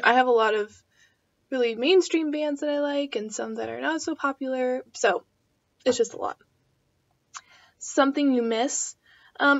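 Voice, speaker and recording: A young woman in her twenties rambles through personal questions, her voice set a touch high and peppered with "um" and "like". It sounds like a casual home vlog, and the audio comes through a little dull and lo-fi. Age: 20s. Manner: chatty and rambling, full of fillers. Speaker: vlogger. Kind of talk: casual chat answering tag questions.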